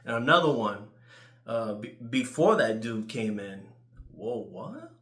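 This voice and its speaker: Animated New York guy, echoey room. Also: fairly low pitch, unhurried. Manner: animated, crude, swearing freely. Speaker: YouTuber. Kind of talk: casual rambling storytelling.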